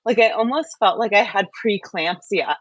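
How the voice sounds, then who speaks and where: A woman's voice: medium pitch, normal speed. A woman hosting a casual, conversational chat, asking questions and adding her own thoughts. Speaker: host.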